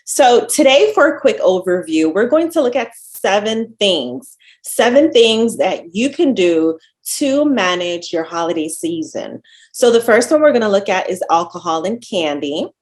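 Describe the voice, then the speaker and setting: A woman's voice: medium pitch, sing-song, a little slow, a little mellow. A woman from Jamaica with a Jamaican accent, a dietitian giving an upbeat, friendly webinar presentation over a video call.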